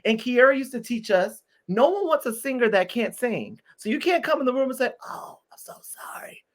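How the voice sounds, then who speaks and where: A man in his thirties from Detroit, a guest singer chatting in an interview, expressive and animated. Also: extremely high pitch, moderate pace. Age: 30s. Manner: lively, expressive, swings from loud exclamations to soft asides. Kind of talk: casual interview chat.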